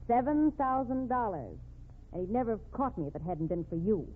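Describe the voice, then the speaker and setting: A brazen, scheming dame from an old radio crime drama. She is sassy and slangy, with a lively mid-pitched female voice on a thin, muffled recording. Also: fairly quick, a little hiss or hum. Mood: angry. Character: brazen, scheming young blonde female swindler.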